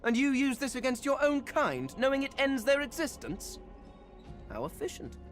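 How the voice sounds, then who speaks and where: An actor voicing a proud, cold character in a video game. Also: male voice, very high-pitched, very animated, normal speed, a bit bright, faint music behind, heavily acted. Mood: cold scorn.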